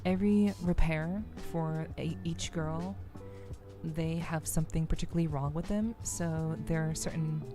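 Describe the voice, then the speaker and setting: A woman streamer talks to her chat in a low, softly creaky voice. She feels her way gently through casual game commentary in an ASMR style, with music playing behind her. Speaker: streamer, VTuber host.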